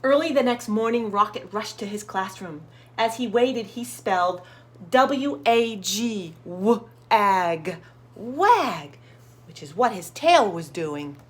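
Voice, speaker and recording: A woman hosting children's storytime, reading expressively to young listeners and addressing them directly. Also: mid-range voice. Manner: slow, expressive, sounds out words and asks listeners questions. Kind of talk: picture book read-aloud for young children.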